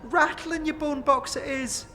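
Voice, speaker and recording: Woman playing brash, sharp-tongued tiefling fighter. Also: high-pitched, steady tone, moderate pace, faint music behind. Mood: mocking. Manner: mocking, slangy, combative. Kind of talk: fantasy game audio drama.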